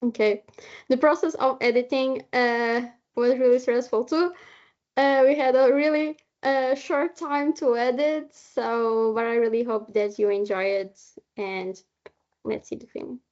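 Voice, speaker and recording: A woman who is not a native English speaker gives an informal, halting presentation over an online call as a film maker. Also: a little high, steady tone, a little mellow. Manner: halting, searching for words. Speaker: short film maker introducing the film. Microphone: video call. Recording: dull, lo-fi online call audio.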